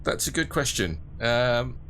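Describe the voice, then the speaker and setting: A man running a tabletop game as game master, explaining rules and scenes in a measured way. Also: medium pitch, lively intonation, fairly bright tone, a little hiss or hum.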